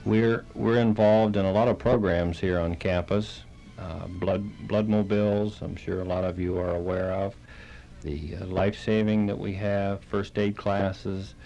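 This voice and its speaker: Deep voiced guy w lots of fry, kinda halting, plain talking radio guest. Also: level tone, warm tone, muffled recording. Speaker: interview guest, Red Cross chapter director. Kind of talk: radio interview, explaining programs.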